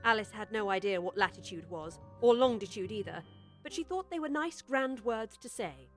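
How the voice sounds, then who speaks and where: A woman narrating a children's storybook audiobook with expressive, scripted storytelling. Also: mid-range voice, moderate pace, soft background music.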